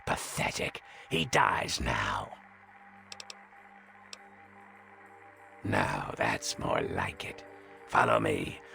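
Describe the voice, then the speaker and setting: An actor playing a bitter, vengeful and ancient man in a video game, sneering and snarling with theatrical contempt in a gravelly voice. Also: extremely low pitch, huge pitch swings, slow pace, pushed and bright, full character voice. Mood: menacing. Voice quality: gravelly, creaky. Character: bitter, vengeful centuries-old man, contemptuous and foul-mouthed. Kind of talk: video game character acting. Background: game music.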